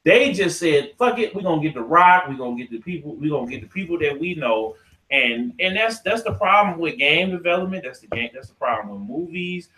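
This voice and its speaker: A man's voice: fairly high pitch, expressive, fairly quick, sharp tone, rough recording. An American man hosting a podcast, casually debating in a profane, slangy way, cutting in and repeating himself for emphasis. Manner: profane, slangy, argumentative, interrupting. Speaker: podcast host. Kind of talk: casual debate about movies and games.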